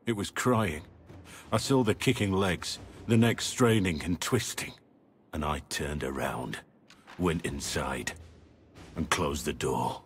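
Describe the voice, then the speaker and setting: A man playing a brooding, guilt-ridden middle-aged warrior in a video game, speaking gravely and earnestly. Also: rumbling low, takes it slowly, slight background noise. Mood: bitter, remorseful. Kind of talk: dramatic video game acting.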